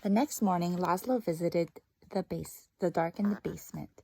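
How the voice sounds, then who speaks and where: A woman reading a story aloud to young children as a teacher, often dropping into hushed, breathy asides. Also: fairly low pitch, normal speed, a little hiss or hum. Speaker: first grade teacher reading a picture book aloud.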